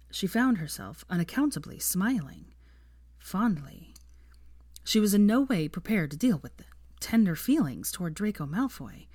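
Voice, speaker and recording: Female narrator, lower register, expressive audiobook read of fiction, very dry close recording. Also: no echo.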